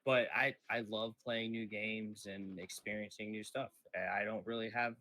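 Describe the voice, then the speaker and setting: A man's voice: fairly low pitch, fairly even intonation, moderate pace, bright, forceful tone. An American man from New York City chatting casually as a guest, blunt and informal. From New York City, United States. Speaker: podcast guest, streamer. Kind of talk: casual chat.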